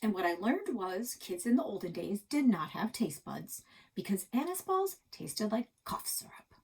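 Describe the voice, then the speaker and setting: A woman narrating a children's chapter book aloud as a library storyteller, in an expressive style. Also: medium pitch, moderate pace, husky.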